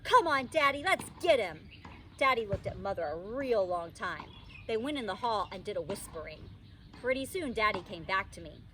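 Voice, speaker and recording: A woman teacher reading a children's storybook aloud in an expressive, childlike first-person narration. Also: mid-range voice, moderate pace. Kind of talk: animated storybook read-aloud. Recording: distant microphone, faint background noise.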